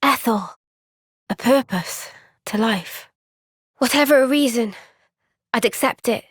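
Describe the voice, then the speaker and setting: An actress playing a young soldier heroine in a video game, earnest, gentle and resolute, speaking with soft, measured sincerity. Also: female voice, fairly high pitch, husky, no room sound, clean studio sound. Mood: thoughtful, earnest. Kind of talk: video game cutscene voice acting.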